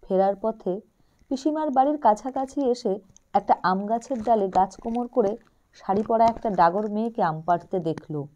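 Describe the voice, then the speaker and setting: Mellow woman narrating a short story. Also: mid-range voice, moderate pace, dull audio.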